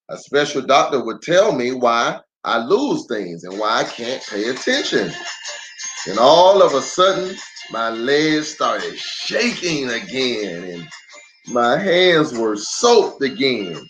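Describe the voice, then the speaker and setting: A man reading a children's story aloud in an expressive, dramatic way with deliberate pauses. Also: medium pitch. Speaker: storyteller, pastor. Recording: roomy echo, faint music.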